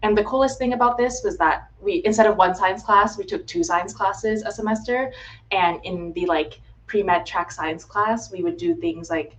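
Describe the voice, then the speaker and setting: A woman's voice: mid-range voice, moderate pace, poor audio. A woman in her twenties from Florida, chatting casually as a guest, with lots of ums and likes. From United States (Florida). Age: 20s. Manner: chatty, frequent "um" and "like", laughs easily. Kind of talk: casual interview chat. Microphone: video call. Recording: faint background noise.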